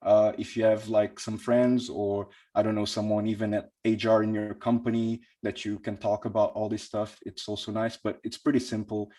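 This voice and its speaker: A young man from Portugal giving a conference talk about his career, speaking in a hesitant way with lots of pauses and restarts. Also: lowish voice, little pitch movement, warm tone. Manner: hesitant, many fillers and restarts.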